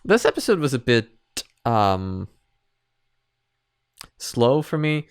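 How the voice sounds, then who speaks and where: A man talks through his opinions in a casual commentary style. His voice is smooth and clear at an average pitch. He goes haltingly, repeating phrases and hedging his judgments as he works through them. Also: very animated, no echo, studio quality. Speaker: reactor and reviewer.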